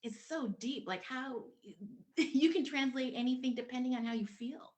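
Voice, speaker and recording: Filipino-born woman, lively panel talk. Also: high-pitched, moderate pace. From Philippines. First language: Tagalog. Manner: animated, lots of fillers and restarts. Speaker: panel guest. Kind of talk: casual panel discussion.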